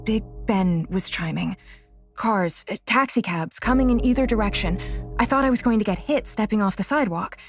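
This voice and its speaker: An actress playing a young blind psychic student, sarcastic and easily exasperated, in a naturalistic audio drama. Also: female voice, medium pitch, normal speed, faint music behind, natural voice. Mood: agitated, confused.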